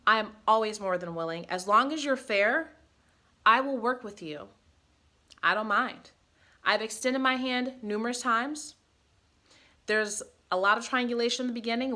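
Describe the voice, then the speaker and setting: A woman's voice: on the high side, bright, forceful tone. A woman speaking in a personal vlog, a mother talking bluntly and with passion, swearing freely. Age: adult mother. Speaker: vlogger.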